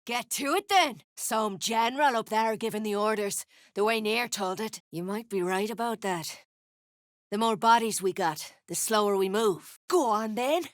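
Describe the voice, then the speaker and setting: A woman's voice: mid-range voice, normal speed, no echo, studio quality, lightly acted. An actress playing a tough, greedy female duergar mercenary in a video game, blunt, coarse and threatening. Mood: wary, blunt. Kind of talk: video game character dialogue.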